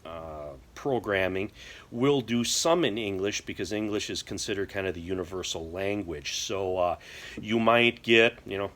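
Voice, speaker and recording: A middle-aged American man explaining things casually to camera in a halting, folksy way. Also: fairly low pitch, a bit bright. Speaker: prepper video host. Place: indoor workshop or garage.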